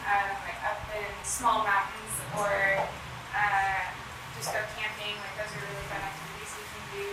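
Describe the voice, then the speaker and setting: A woman's voice: medium pitch, normal speed. A young woman giving a casual student presentation, filling her talk with "um" and "like.". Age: 20s. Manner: casual, frequent um and like fillers. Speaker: student speaker at an education fair. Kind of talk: presentation to an audience. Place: large event hall. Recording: echoey hall from far off with noise.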